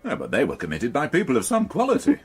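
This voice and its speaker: An actor playing a gloomy, middle-aged, dry-witted police inspector in a naturalistic radio drama. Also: male voice, fairly high pitch, breathy edge, exaggerated voice. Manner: grumbling, understated, dryly sardonic.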